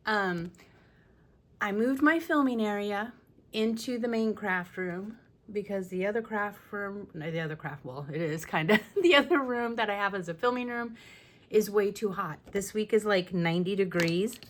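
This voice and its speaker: A woman with a lingering raspy voice talking casually through a scrapbooking tutorial at home, with chatty, apologetic asides while she works. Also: mid-range voice, moderate pace. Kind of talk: casual crafting tutorial talk. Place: home craft room. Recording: faint room noise from fan. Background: fan running.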